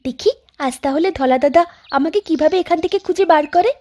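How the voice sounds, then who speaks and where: A woman plays a small village girl in a horror cartoon with a very high, childlike voice. She brags when she is playing and stammers when she is frightened. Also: brisk, dark tone, close to an everyday voice. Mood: boastful. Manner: boastful then stammering with fear. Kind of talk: Bengali horror cartoon voice acting.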